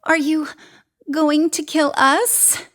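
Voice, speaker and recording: A woman's voice: high-pitched, fairly even intonation, takes it slowly, a bit bright. A woman playing a blunt, squeamish, defensive teenage girl in a comedy horror audio play, sounding exasperated. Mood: alarmed.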